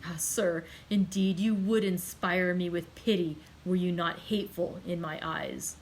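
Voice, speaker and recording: Woman doing a young guy losing it, loud and accusing, grief and rage all over. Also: mid-range voice, normal speed, a little hiss or hum, close to an everyday voice, rough recording. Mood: furious. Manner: loud, impassioned, accusing outbursts. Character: young man, desperate, angry, grief-stricken. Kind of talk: audiobook character reading.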